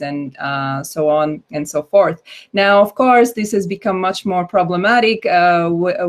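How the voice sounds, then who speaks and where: A woman with a fairly low voice presents an online workshop for teachers. She talks in a chatty way, laughs easily and often restarts her sentences. She sounds like she is on a computer mic during a video call. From Slovakia. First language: Slovak. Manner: chatty, laughing, self-correcting. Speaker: English instructor and student engagement coordinator.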